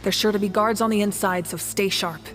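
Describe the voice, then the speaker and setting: A woman's voice: mid-range voice, steady tone, a little fast, lightly acted. Composed young female squad leader played by an actress. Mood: focused, cautious. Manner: composed, purposeful. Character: steady, vigilant young female squad leader in fantasy adventure. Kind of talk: video game voice acting. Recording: game music behind the voice.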